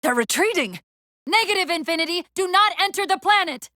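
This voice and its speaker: A female AI partner from a military sci-fi video game. Her voice is high and bright, recorded close and dry. She is brisk and urgent, snapping out tactical orders with a sardonic edge. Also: animated, dry recording, heavily acted. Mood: urgent, alarmed. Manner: crisp tactical commands with dry wit.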